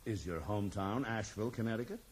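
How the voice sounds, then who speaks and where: An actor playing a cool, businesslike investigator in a radio drama, speaking with deliberate courtesy. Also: male voice, lowish voice, natural voice. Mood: cool, probing. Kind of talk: 1980s radio drama acting. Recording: faintly noisy 1980s radio recording.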